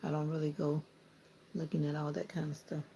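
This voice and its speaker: A woman's voice: deep voice, flat delivery, brisk, vocal fry, warm tone, slight background noise, rough recording. A woman with a Southern US accent, chatting casually with viewers and laughing often while she trims her hair. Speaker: vlogger. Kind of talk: casual chat with live viewers.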